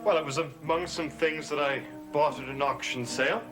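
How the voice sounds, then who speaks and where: An actor playing an evasive young son, hesitant and placating, in gothic soap opera style. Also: male voice, a little high, normal speed, over music, close to an everyday voice. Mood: guarded, placating. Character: evasive young man, placating his mother.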